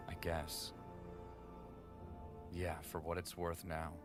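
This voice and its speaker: Guy doing a battle-worn sardonic soldier, low voice, loud music all over it, audio drama. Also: completely flat delivery, drawn-out words. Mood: wry, weary. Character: bitter, sardonic, battle-worn male warrior.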